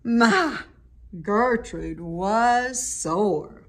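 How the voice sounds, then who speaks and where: A woman's voice: fairly high pitch, animated, very slow, slight background noise. A woman reading a rhyming children's story aloud with dramatic, emphatic expression. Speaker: storybook reader.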